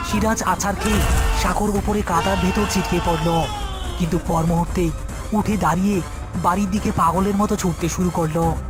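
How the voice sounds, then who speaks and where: A storyteller narrates a scripted horror tale. The voice sits at a middle pitch, with music clearly playing underneath.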